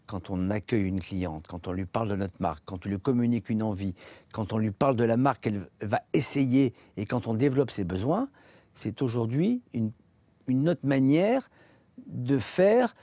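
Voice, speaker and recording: A man in his forties with a French accent, a shoe salesman giving an earnest, reflective personal testimony, speaking in measured phrases with pauses. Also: medium pitch, mellow, no echo. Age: 40s.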